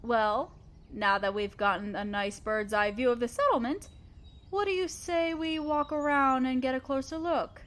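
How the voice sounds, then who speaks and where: Woman playing a high-voiced motherly spider queen. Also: moderate pace, a little hiss or hum. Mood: warm, reassuring. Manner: tender, patient, softly explaining. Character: gentle motherly spider queen, loving and thoughtful, adoptive mother. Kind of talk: scripted audio roleplay, addressing the listener.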